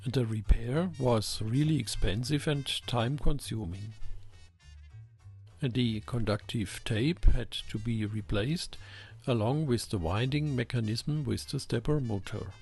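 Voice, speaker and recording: Male narrator for technical explainer: unhurried, gravelly, methodical, mid-pitched, with faint music behind. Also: a little mellow. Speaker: host of a ham radio electronics channel.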